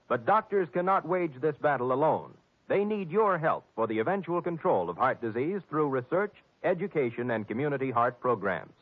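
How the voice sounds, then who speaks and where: Animated vintage male radio announcer, tinny sound. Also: medium pitch. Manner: polished, formal, promotional. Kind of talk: 1950s scripted radio announcing. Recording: tinny, band-limited 1950s radio recording.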